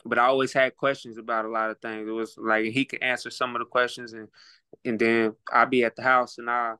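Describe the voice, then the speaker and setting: A man's voice: mid-range voice, flat delivery, brisk, sharp tone, clean tone, no echo. A man with an Oklahoma accent, talking as a guest on a casual podcast in a laid-back, reflective way, repeating words while he thinks. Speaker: guest, rapper and boxer.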